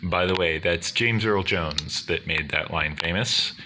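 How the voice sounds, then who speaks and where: A man with a deep, booming bass voice, rambling casually in wordy, formal phrasing as he hosts a gaming video. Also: measured pace, very noisy. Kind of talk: casual rambling commentary over gameplay.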